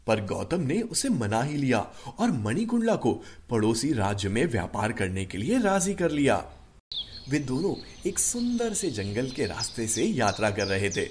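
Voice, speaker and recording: A man narrating a scripted animated short story as its storyteller. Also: medium pitch, unhurried, slight background noise.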